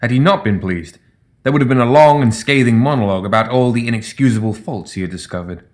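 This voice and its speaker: A man narrates a piece of fantasy fiction. His pitch is in the middle range for a male voice. The delivery is scripted storytelling, as in an audiobook. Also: fast.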